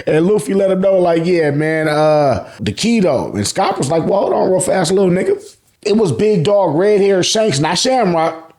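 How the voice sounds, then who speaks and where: An American man giving a casual, joking recap as a channel host, full of slang and swearing and acting out dialogue. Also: fairly high pitch. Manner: slangy, profane, joking, animated. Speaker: host of an anime and manga review channel.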